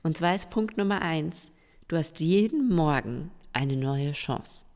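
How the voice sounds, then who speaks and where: A woman of around forty, hoarse and stuffy-nosed, hosting a solo podcast and encouraging her listeners directly. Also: low voice, lively intonation, measured pace, a little mellow. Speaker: podcast host. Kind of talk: solo podcast monologue giving tips. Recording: long reverb with faint music.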